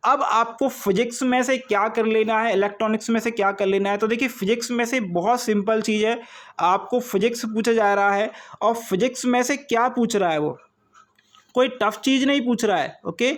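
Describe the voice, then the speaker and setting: A man's voice: sits high, normal speed. A man giving an informal exam-prep lesson, repeating points and checking in with short tags and rhetorical questions. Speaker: exam coaching teacher.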